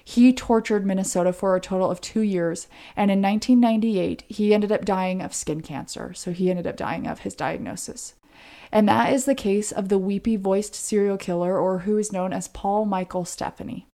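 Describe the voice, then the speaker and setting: Mellow American woman telling true crime. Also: medium pitch, moderate pace. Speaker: podcast co-host. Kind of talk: conversational true-crime storytelling.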